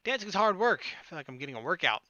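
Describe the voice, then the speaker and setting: A man's voice: sits high, very animated, rapid-fire, bright, forceful tone, no room sound, dull audio. A man hosting a gaming video, reading a visual novel aloud and acting out the dialogue informally. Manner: informal, performs lines as he reads. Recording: game music playing behind the voice.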